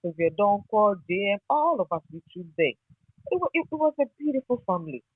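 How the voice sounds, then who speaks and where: A woman's voice: mid-range voice, big pitch swings, fairly quick, husky, no echo. Over a narrow, phone-like line with little room sound, a Ghanaian woman shares memories in a conversational way. She is nostalgic and often repeats a phrase to underline it. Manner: nostalgic, emphatic repetition. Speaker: interviewee, former radio newsreader. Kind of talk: conversational, reminiscing interview.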